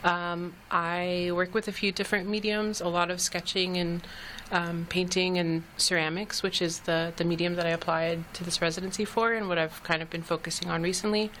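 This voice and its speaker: A woman's voice: on the low side, flat delivery, moderate pace, a bit bright, slight background noise, low-quality recording. A young woman artist answering interview questions in a hesitant way, with many ums. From Canada. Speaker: artist guest.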